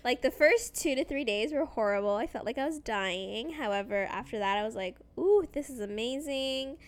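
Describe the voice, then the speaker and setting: Chatty woman co-hosting, slightly hoarse. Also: on the high side, expressive, normal speed. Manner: chatty, upbeat, lots of fillers and laughs. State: slightly hoarse, recovering from illness. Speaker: podcast co-host. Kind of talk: casual chat.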